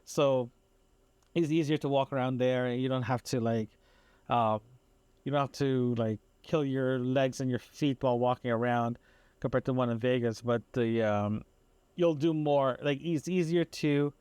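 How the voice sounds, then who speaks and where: A man talks through a casual audio diary in a dry, close recording. His delivery is halting and meandering, circling back over phrases in an unpolished, conversational way. The pitch is average for a man. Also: fairly even intonation, darkish tone, clear, resonant tone, dry recording. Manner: halting, repetitive, self-interrupting. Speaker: podcast host.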